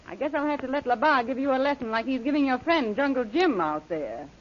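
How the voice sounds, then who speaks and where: A mocking villainess in a 1930s radio adventure. Her high voice swoops as she coolly gives orders and taunts, on a muffled, noisy old recording. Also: expressive, a little fast. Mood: cold, taunting. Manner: imperious, mocking. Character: cold, taunting villainess who commands henchmen. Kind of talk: 1930s radio serial acting.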